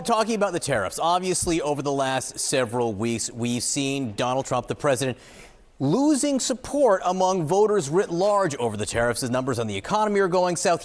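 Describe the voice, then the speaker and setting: A man's voice: on the high side, very animated, normal speed. Sing-song TV news anchor, man. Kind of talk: broadcast news presenting.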